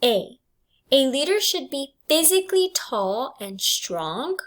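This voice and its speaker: Lady teacher reading quiz questions out loud, really slow n careful, voice goes up and down a lot. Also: sits high, sing-song. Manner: deliberate, enunciated item-by-item delivery for students. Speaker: English language arts teacher. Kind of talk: reading test questions and answer choices aloud.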